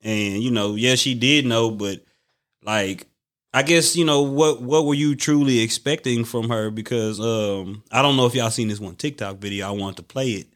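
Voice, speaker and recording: A man co-hosts a casual podcast chat, rambling and filling gaps with "you know" and "um". His voice sits at a middle pitch. He talks loosely and easily. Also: moderate pace, fairly bright tone. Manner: rambling, many fillers. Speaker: podcast co-host.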